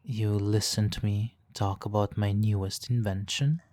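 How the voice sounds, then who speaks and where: A man playing a suave, teasing, hypnotically controlling supervillain in a soft, intimate ASMR roleplay, condescending and purring, breathy in places. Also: on the low side, no echo, close to an everyday voice. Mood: smug, teasing. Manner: condescending, purring, drawn-out pauses, soft chuckles.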